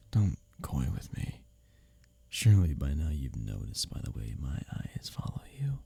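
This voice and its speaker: Husky, breathy low-key male lover played by an actor. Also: big pitch swings, dry recording, exaggerated voice. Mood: seductive, longing. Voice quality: breathy, husky, often dropping to a whisper. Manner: halting, hushed, teasing, sighing delivery. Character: smitten male workplace rival, teasing and flustered lover. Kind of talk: intimate romantic roleplay audio, ASMR.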